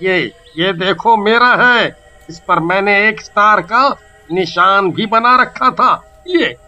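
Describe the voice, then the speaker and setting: A man doing an indignant male customer in an animated storybook, with a high, very sharp and tense voice. He speaks very slowly and presses his claim. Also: lo-fi sound, exaggerated voice. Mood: insistent.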